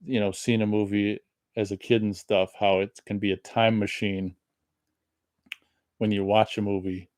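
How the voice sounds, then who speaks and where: A man in his thirties giving a rambling, reflective solo movie commentary, his voice a little raspy from long talking. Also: fairly low pitch, level tone, moderate pace, long pauses, a little mellow, no echo, clean studio sound. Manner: rambling, reflective, many restarts.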